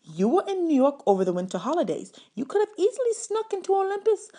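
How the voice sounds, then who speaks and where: A woman's voice: very high voice, sing-song, fast, mellow, voice pushed up. A woman voicing a stern, learned male mentor in a storybook, formal, pedantic and emphatic. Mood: grave explanation.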